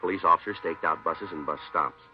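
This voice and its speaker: An actor playing a laconic, deadpan American police detective with clipped speech in an old-time radio drama. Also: male voice, deep voice, fairly quick, soft background music, close to an everyday voice. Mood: matter-of-fact. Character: laconic, dry, unflappable male police detective. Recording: dull old radio recording.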